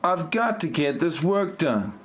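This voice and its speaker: Man acting a slow, stilted, aggrieved novelist. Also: a little high, sing-song, slight background noise. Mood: earnest, stilted. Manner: stilted, robotic delivery. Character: stiff, aggrieved male novelist and self-styled hacker. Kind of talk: amateur parody audio drama acting.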